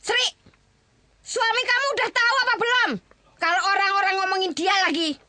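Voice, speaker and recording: An actress playing a loud, nagging older mother-in-law in an audio drama, with an Indonesian accent. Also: very high voice, brisk, dry recording. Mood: nagging, pointed.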